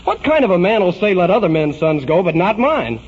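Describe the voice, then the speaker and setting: Earnest, high-voiced young American man. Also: sing-song, a little fast. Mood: stubborn, hurt. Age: young adult. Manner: earnest, insistent. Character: earnest, headstrong young male law student. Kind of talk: 1940s American radio drama acting. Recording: muffled, noisy vintage radio recording.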